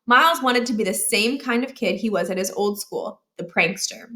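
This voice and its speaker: A woman reading a children's novel aloud as an expressive storyteller. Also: medium pitch, moderate pace. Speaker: storybook reader.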